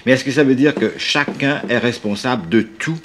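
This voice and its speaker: A man's voice: medium pitch, normal speed, slight background noise. A man from Canada interviewing a guest, asking brief, probing questions.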